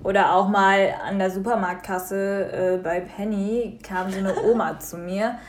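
A young woman from Germany co-hosts a radio talk show in a relaxed, chatty way. She tells everyday stories with energy and acts out the people in them. Her voice sits in a middle pitch. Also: measured pace. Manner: lively storytelling, acts out quoted people. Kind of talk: casual radio chat.

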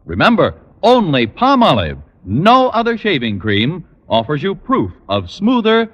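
A male announcer selling in vintage radio style. His voice is forceful yet husky, swinging widely in pitch with emphatic delivery. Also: huge pitch swings, a little slow, muffled recording. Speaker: commercial announcer. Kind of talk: 1940s-50s radio advertising read.

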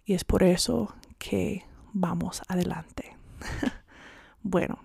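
A woman's voice: on the low side, fairly even intonation, slow, creaky, warm tone, slightly rough, no room sound, studio quality. A woman whose first language is English hosting a personal devotional podcast, earnest and a little self-conscious, sometimes dropping to a whisper. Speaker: podcast host.

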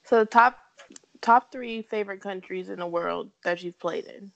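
A woman's voice: mid-range voice, moderate pace, vocal fry, a bit bright, lo-fi sound. A woman hosting a podcast, asking questions in a playful, teasing, upbeat way. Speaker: podcast host. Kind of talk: casual interview chat.

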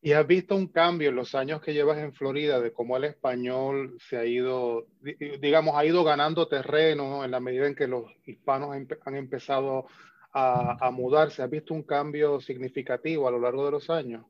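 Male interviewer, calm and measured, recorded dull and dry. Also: medium pitch, dry recording. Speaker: podcast host. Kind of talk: interview questions.

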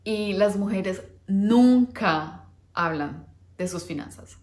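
A woman's voice: medium pitch, lively intonation, slow, darkish tone, roomy sound. A woman in her thirties hosting a podcast, chatting casually about herself with frequent hesitations and little laughs. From Colombia. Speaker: podcast host. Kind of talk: casual personal talk.

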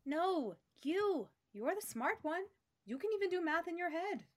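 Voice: extremely high pitch, big pitch swings, measured pace, heavily acted. A woman playing a feisty, bossy, defiant schoolgirl in sketch comedy.

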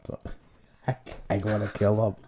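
A young man chatting on a casual podcast with friends. His voice sits a little low and sounds mellow and relaxed. He teases the others and laughs often. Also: normal speed, a little hiss or hum. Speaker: podcast co-host. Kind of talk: casual chat.

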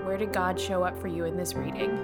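A woman's voice: fairly low pitch, steady tone, fast talker. A woman reading scripted announcements for a devotional podcast. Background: prominent music.

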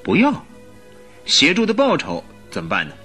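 An actor playing a domineering, haughty, sturdy business magnate in a radio drama, speaking curtly and with authority. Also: male voice, fairly high pitch, wildly swooping pitch, moderate pace, pushed and bright, soft background music, full character voice. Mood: irritated. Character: domineering, sturdy, lion-like male gold-mine tycoon.

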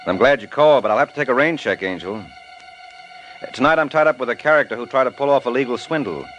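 An actor playing a suave, wisecracking private detective in a 1950s radio drama. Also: male voice, mid-range voice, a little fast, fairly bright tone, natural voice. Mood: breezy, flirtatious. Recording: muffled old radio broadcast over music.

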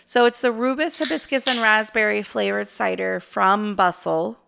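A woman co-hosting a podcast in casual chat, her voice raspy and hoarse from a cold, talking in a relaxed, slightly halting way. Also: mid-range voice, background noise. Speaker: podcast co-host.

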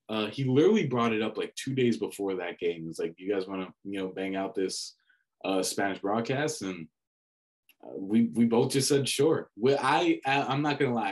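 A young man, a student sports broadcaster, telling a story in casual conversation, animated and full of restarts and fillers. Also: lowish voice. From Dominican family background. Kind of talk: casual reflective chat.

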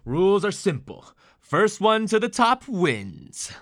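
An actor playing a smug, showy TV game show host with theatrical announcer hype in an audio drama. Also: male voice, high-pitched, huge pitch swings, slow pace, exaggerated voice. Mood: hyped.

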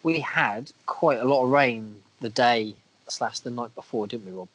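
A man with a croaky voice from a cold, co-hosting a podcast in casual, self-deprecating chat. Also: mid-range voice, normal speed, fairly bright tone, rough recording. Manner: chatty, self-deprecating, a bit crude. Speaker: podcast co-host.

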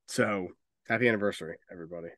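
A man's voice: deep voice, rapid-fire, fairly bright tone, no echo, clean studio sound. A man hosting a casual movie podcast, talking informally and bluntly, with strong opinions and plenty of swearing. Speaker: podcast host.

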